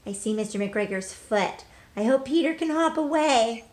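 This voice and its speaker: A woman with a fairly high voice talks as a teacher to young children during a storybook read-aloud. She is expressive and addresses her listeners directly, leaning on important words. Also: normal speed.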